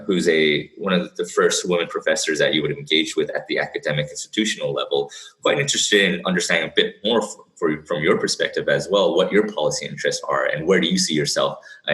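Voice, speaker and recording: A man's voice: very deep voice, a bit bright, rough recording. A man co-hosting a podcast, asking questions in a casual, familiar, conversational way. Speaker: podcast co-host interviewer.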